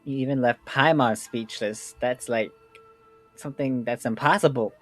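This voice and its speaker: Low-voiced woman streamer reacting. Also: normal speed. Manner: exclamatory, amused. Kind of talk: casual reaction while playing a game. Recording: faint game music behind.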